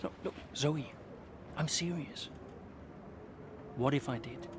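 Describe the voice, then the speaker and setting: A man playing a weary, guarded but caring veteran racing driver in a naturalistic video game cutscene, halting as he talks. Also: fairly high pitch, background noise. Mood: hesitant, earnest.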